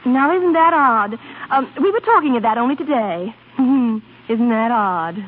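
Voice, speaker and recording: A woman's voice: a little high, lively intonation, measured pace. A woman playing a coy, flirtatious opera prima donna in a 1930s radio drama, hesitant and fluttery. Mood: flirtatious, evasive. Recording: tinny, band-limited 1930s radio broadcast with music.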